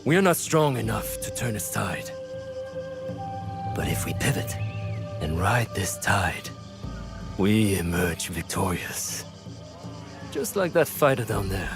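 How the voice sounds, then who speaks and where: An actor playing a shrewd, philosophical strategist in a video game, with a measured, assured, oratorical way of speaking. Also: male voice, fairly low pitch, sing-song, creaky, over music, exaggerated voice. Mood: grave conviction. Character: shrewd, philosophical male strategist, confident and composed. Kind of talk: video game cutscene acting.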